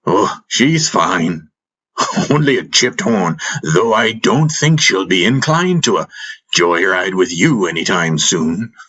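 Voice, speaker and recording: A man voicing a wise, calm old master in an audiobook, speaking in a measured, gently teasing way. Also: sits low, husky, exaggerated voice. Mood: amused. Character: wise, calm, wry old male master caring for a patient.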